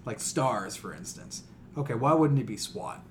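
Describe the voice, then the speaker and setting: Male podcast co-host, mid-pitched, opinionated and exasperated ranter, loose swearing, noisy room recording. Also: moderate pace. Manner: animated exasperated ranting, swears casually. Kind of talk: casual opinionated discussion.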